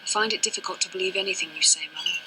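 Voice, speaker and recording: A proud young Jedi woman for a video game, guarded and resentful toward family. The voice is very bright and forceful, set a little low, with formal, clipped, earnest phrasing. Faint noise and ambience sit behind it. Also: rapid-fire, low-quality recording. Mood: bitter, accusing. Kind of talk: dramatic scripted game dialogue.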